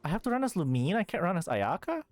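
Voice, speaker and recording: A woman's voice: fairly low pitch, very animated, fast, darkish tone. A woman livestreaming a game in casual chat, rambling, swearing freely and reacting with disbelief. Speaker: livestreamer playing a video game. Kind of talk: casual live chat and commentary. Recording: game music behind the voice.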